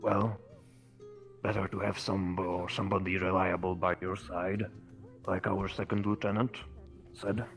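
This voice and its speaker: A man playing a hesitant, businesslike guard officer in improvised fantasy roleplay, halting as he talks. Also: low voice, flat delivery, soft background music. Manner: halting, hesitant, mumbly delivery. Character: hesitant, businesslike male officer commanding sentinels.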